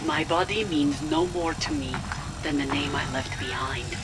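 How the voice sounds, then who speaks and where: An actress playing a proud, fanatical military villainess and devoted daughter in a game audio log, speaking with deliberate, theatrical intensity. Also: female voice, sits low, wildly swooping pitch, vocal fry, heavy background noise, full character voice. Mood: fanatical, resolute. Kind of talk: dramatic video game audio log acting.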